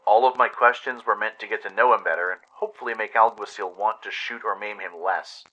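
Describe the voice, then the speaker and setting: A man's voice: lowish voice, steady tone, brisk, pushed and bright, dull audio. A man reading a dramatic scripted adventure story as narrator. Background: music.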